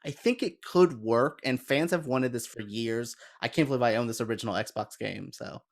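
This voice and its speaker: A man's voice: medium pitch, normal speed, gravelly. Earnest man giving casual opinions. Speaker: podcast panelist.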